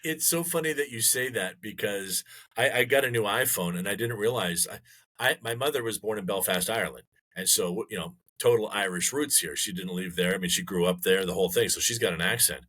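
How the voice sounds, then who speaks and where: Bright-voiced man telling stories. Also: fairly low pitch, fairly quick, poor audio. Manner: joking storyteller, animated retellings. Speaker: podcast co-host. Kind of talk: casual chat.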